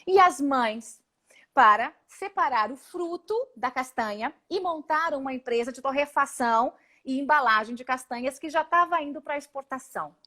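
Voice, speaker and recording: High-voiced, emphatic Brazilian woman. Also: sharp tone. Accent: Brazilian Portuguese. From Paraná, Brazil. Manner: emphatic, indignant, speaks in rapid lists. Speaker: congresswoman guest. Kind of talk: live video interview. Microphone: video call.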